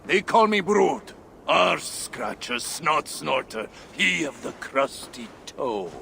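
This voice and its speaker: An actor playing a boisterous, coarse dwarf chief in a video game, blunt and earthy. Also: male voice, fairly high pitch, big pitch swings, slow pace, vocal fry, sharp tone, exaggerated voice. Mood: boisterous, jokey. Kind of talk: video game cutscene acting. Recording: faint ambient noise.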